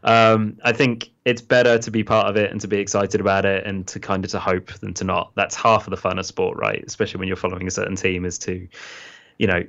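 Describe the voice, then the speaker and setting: A man's voice: low-pitched, little pitch movement, fast talker, fairly bright tone, highs cut off. An English man co-hosting a podcast, chatting casually, with easy laughs and lots of fillers. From England. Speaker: podcast co-host. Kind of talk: casual chat.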